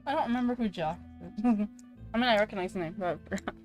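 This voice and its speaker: A woman's voice: medium pitch, rapid-fire, soft background music. A woman streaming a game, chatting casually with giggly, exclaiming reactions. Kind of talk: casual livestream chat and reactions.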